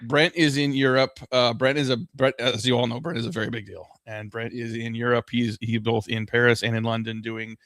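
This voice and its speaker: Middle-aged male host, booming voice, dry close sound, rambling and jokey. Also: little pitch movement, a little fast, few pauses, no room sound. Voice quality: loud booming voice. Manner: joking, self-deprecating, rambling with many restarts. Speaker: podcast host. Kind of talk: casual livestream chat. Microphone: not using the desk mic.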